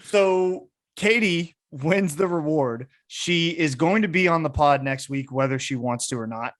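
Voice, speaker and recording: A man's voice: on the high side, unhurried, fairly bright tone. A young man hosting a casual sports podcast, chatting in a playful, bantering way. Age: young adult. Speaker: podcast host.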